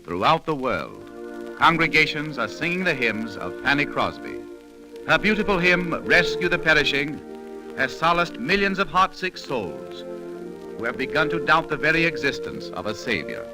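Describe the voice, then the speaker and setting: Dramatic, high-voiced 1930s radio narrator, male. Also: very animated, moderate pace, poor audio. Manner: dramatic, formal storytelling. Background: music.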